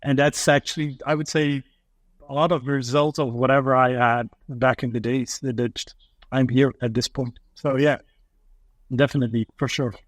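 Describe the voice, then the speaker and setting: A man's voice: medium pitch, steady tone, normal speed, no echo. A man from Afghanistan, a guest on a podcast, talking casually and acting out investors' thinking in quoted asides.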